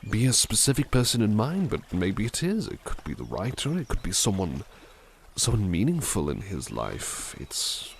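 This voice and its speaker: A man playing a kindly, gravelly butler. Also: fairly low pitch, slight background noise. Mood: gentle, chatty. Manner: polite, formal and soft-spoken; dramatic, lilting recitation of verse. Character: gentle, kindly adult male butler. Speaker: ASMR roleplay creator. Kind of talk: ASMR roleplay, reading poetry aloud.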